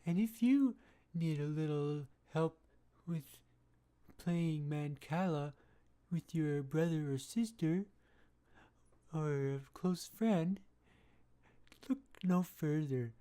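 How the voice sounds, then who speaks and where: Woman voicing a slow, cheesy ad pitchman. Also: animated, mellow. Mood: salesy. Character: male huckster ad pitchman for a board game school. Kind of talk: mock radio advert.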